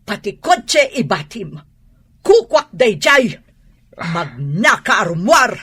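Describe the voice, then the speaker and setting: A resolute wife who has had enough in a radio drama. Her voice is husky and sharp, and it swings widely as she scolds. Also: mid-range voice, big pitch swings, slow pace, heavily acted. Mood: angry, scornful. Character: fed-up, resolute wronged wife. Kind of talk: Ilocano radio drama acting.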